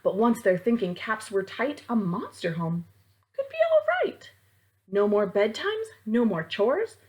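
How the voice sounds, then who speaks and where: A woman reading a rhyming picture book aloud to children in a theatrical, deliberate way, as a library storytime reader. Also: mid-range voice, very animated, darkish tone.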